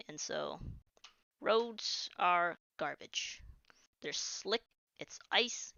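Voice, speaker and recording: Woman game streamer, kinda low voice, super clear, just chatting and restarting, dry close mic. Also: pushed and bright, no echo. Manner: rambling, chatty, trails off and restarts. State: starting to lose her voice. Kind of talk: casual live-stream commentary. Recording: dull lo-fi stream audio.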